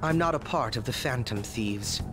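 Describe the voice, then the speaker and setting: Young male detective for a video game, man acting: articulate, coolly courteous, calculating, mid-pitched with animated melody. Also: moderate pace, loud music behind the voice, close to an everyday voice. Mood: cool, intrigued. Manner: polite, articulate, analytical.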